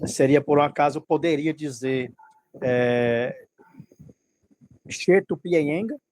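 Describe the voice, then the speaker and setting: A man's voice: a little high, darkish tone. A male student asking a polite, hesitant question over a video call. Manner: polite, hesitant, asks with pauses and fillers.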